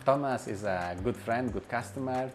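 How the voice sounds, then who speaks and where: A man's voice: mid-range voice, moderate pace. A man in his forties, a chef and restaurant owner, answering interview questions in a hesitant way, with many fillers, restarts and grammar. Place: bright indoor room with light wall. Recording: music bed under voice. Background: background music.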